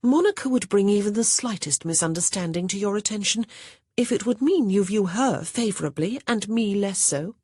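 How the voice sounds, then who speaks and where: A woman's voice: medium pitch, lively intonation, moderate pace, warm tone, slightly rough, no echo, clean studio sound, pitched above the performer's own voice. Narrator doing a sweetly sly young lady. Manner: sweet, mock-innocent. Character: sly, sweet-talking young society woman.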